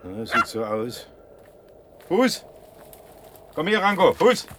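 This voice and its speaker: Unbothered rural woodsman, southern German accent, high-pitched, radio play, loud forest noise. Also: big pitch swings, slow pace. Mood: blunt, unbothered. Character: blunt, unbothered rural male woodsman with dog. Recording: very noisy outdoor scene, animal sounds.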